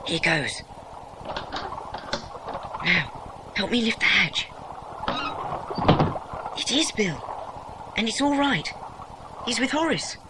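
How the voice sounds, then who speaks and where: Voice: medium pitch, sing-song, takes it slowly, long pauses. A performer with a British accent playing a practical, watchful schoolboy in a children's audio adventure, with proper old-fashioned phrasing. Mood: relieved. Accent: British English. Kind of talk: dramatised children's audiobook acting. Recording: noisy audio drama effects.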